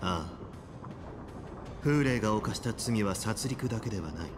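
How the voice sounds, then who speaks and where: An actor playing a composed, authoritative military official in a Japanese video game, speaking solemnly and deliberately in formal language. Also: male voice, on the low side, lively intonation, close to an everyday voice. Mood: grave, composed. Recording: game music and background noise. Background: game music.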